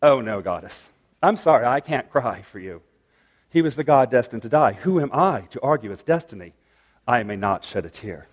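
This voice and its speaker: A man's voice: mid-range voice, lively intonation, moderate pace, long reverb tail, close to an everyday voice. A man voicing a humble, apologetic handmaiden. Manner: apologetic, demure. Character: humble female handmaiden, secretly sly.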